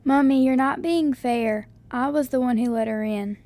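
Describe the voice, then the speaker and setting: A woman's voice: high-pitched, normal speed, warm tone, dry recording. A woman playing a small, sweet daughter pleading with her mother in a radio drama.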